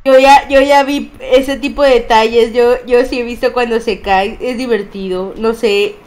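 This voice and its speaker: A woman joining a casual chat with dark, teasing humor. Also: a little high, steady tone, measured pace.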